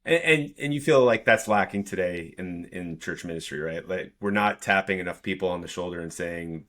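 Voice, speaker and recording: Man, podcast host, very deep voice, affirming, conversational. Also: fairly quick, fairly bright tone. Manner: affirming, asks follow-up questions. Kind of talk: conversational interview.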